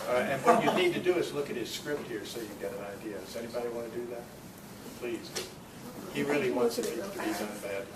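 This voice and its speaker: A man's voice: mid-range voice, very animated, fast. A man informally explaining arrangements to a group as one of the organizers. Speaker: club member organizing a script performance. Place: meeting room, recorded from a distance. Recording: echoey room, distant mic, clear noise.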